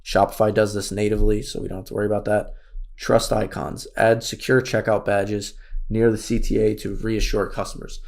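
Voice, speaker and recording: A man with a raspy voice, losing it, casually walking through an online store tutorial with many fillers. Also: lowish voice, little pitch movement, fairly quick, darkish tone. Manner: casual, thinks aloud with fillers. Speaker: course instructor. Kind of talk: screen-share tutorial walkthrough.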